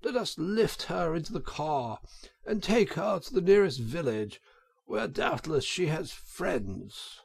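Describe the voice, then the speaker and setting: A man voicing a kindly, fussing gentleman motorist in a storybook reading, with a solicitous, genteel manner. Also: a little high, very animated, slow, vocal fry, breathy edge, voice pushed up, exaggerated voice. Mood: concerned.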